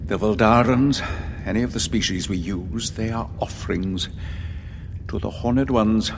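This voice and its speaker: Uneasy pragmatic official, hesitant, justifies himself, audio drama, echoey with a bit of noise. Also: male voice, on the low side, unhurried. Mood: defensive. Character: uneasy, evasive male official, pragmatic and deferential. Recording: long reverb, faint background noise.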